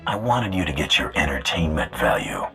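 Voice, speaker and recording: A man playing a tough bounty hunter in a video game, speaking in terse, deadpan, coolly threatening lines. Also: very deep voice, normal speed, vocal fry, faint music behind, full character voice. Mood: dry confidence.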